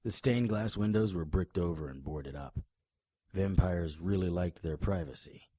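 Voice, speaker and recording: An actor playing a brooding, wry investigator who narrates a noir-style audio drama in a dry, hard-boiled manner. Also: male voice, low-pitched, normal speed, mellow, husky, no echo. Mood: grim, wry. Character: brooding, wry, stubborn male supernatural investigator. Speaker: narrator and lead character.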